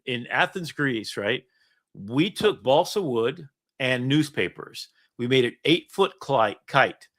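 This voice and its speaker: A middle-aged man, the host, telling rambling stories in casual chat, laughing often. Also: on the high side. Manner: storytelling, animated, laughs often. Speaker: podcast host.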